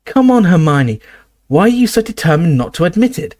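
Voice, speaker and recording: A man doing an excited, insistent teenage boy. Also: high-pitched, brisk, voice pushed up. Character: eager, obsessive teenage boy.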